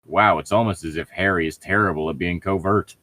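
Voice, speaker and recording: A man's voice: sits low, fairly quick, sharp tone, no room sound. A man reading a story aloud as a co-host, breaking off for sarcastic, irritable, profane commentary and casual banter. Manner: sardonic, irritable, profane heckling.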